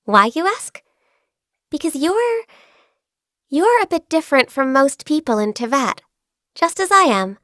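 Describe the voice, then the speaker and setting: An actress voicing a gentle, shy and diligent young female secretary in a video game, soft-spoken, earnest and a little hesitant. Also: high voice, sing-song, measured pace, long pauses, dry recording, studio quality, full character voice. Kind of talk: video game character voice lines. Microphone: close studio mic.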